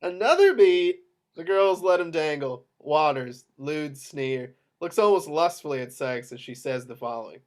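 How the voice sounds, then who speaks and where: Higher-voiced man reading stage directions. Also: animated, moderate pace. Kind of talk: scripted screenplay narration, amateur table read.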